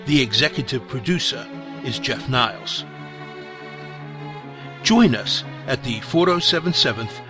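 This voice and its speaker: Male closing announcer, slow, formal, music bed. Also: medium pitch. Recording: music underneath.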